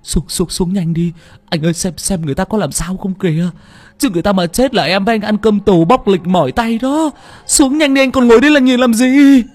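A man doing a shrill panicky young lad. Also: expressive, a little fast, fairly bright tone, no echo. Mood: panicked wailing. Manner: shrill, frantic wailing. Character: panicky young male truck driver's mate.

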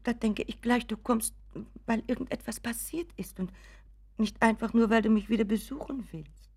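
A woman's voice: a little high, normal speed, a little mellow, slightly rough. An actress playing an anxious, doting mother in a German radio drama, fussing and apologetic, often dropping to a whisper. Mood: eager, apologetic. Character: anxious, doting, pleading mother of grown son.

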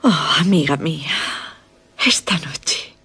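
A low, husky female voice, an enigmatic young Gothic beauty. She has a Castilian Spanish accent and a languid, dramatic radio-play delivery. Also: animated, slow pace, pushed and bright, exaggerated voice. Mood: dreamy, mysterious.

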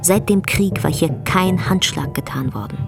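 A woman narrating a literary radio play in a measured storytelling delivery. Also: fairly low pitch, gravelly. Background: faint music.

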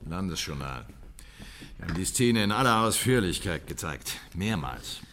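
Deep, terse state premier. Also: male voice, very animated, normal speed, fairly bright tone, faint music behind. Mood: dry, sceptical. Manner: clipped, dry questioning. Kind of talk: German radio drama acting.

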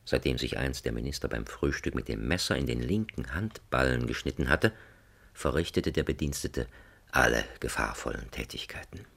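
A man narrating a satirical radio drama as a wry, mock-solemn storyteller, with a gravelly, husky voice. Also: a bass voice, animated, moderate pace, fairly bright tone, heavily acted. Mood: dry, ironic. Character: wry, leisurely male storyteller of a satirical fairy tale. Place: radio studio.

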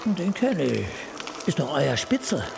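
An actor playing a brash, foul-mouthed, cynical police detective in a radio crime drama, sardonic and coarse. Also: male voice, fairly high pitch, big pitch swings, measured pace, creaky, noisy background, full character voice. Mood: brash, dismissive.

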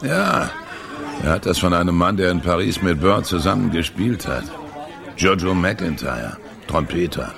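An actor playing a boozy, wistful male barfly in a German radio drama, rambling and musing in a gravelly voice. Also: low voice, expressive, unhurried, heavily acted. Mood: musing, resentful. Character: boozy, wistful male barfly, slyly sociable jazz fan. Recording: bar crowd murmur behind.

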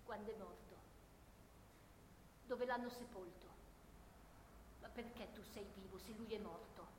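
Actress doing grieving peasant mom in a war radio play, insistent, echoey hall, bit of noise. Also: female voice, mid-range voice, a little slow, lightly acted. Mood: anguished, pleading. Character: grieving, insistent peasant mother of a dead soldier. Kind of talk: Italian radio theatre monologue and dialogue. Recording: echoey reverberant room, faint noise.

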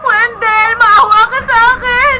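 A woman's voice: very high-pitched, steady tone, measured pace, heavy background noise. An actress playing a terrified young woman held hostage, crying out in a Filipino radio drama with a Filipino accent. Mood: terrified, begging.

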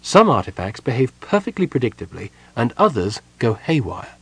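Male reader doing a stern sorceress, measured and wary, a husky edge. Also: medium pitch, sing-song, dry recording, pitched above the performer's own voice, heavily acted. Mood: cool.

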